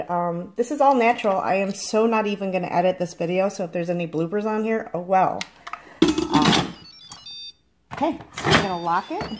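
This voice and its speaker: A woman's voice: medium pitch, a little hiss or hum. A woman chatting casually as she demonstrates cooking, rambling and correcting herself, filmed on a phone in her home kitchen. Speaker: farm vlogger. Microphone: phone held in hand.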